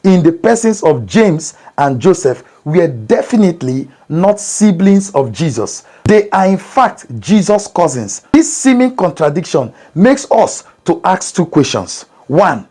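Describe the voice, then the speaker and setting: Priest teaching in a mellow voice. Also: male voice, sits high, sing-song. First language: Igbo. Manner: measured, methodical explaining with numbered points. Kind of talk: scripted Bible teaching.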